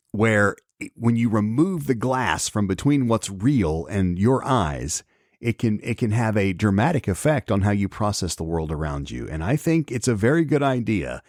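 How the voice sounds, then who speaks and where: A middle-aged man hosting a solo podcast, slightly hoarse, chatting conversationally with many ums and restarts as he reads news aloud. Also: on the low side, darkish tone, no room sound, studio quality. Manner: conversational, repeats words and restarts, frequent ums. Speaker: podcast host.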